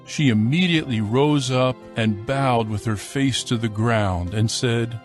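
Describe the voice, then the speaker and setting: A male narrator reads scripture in a formal, measured way. His pitch is mid-range, and music plays softly behind him.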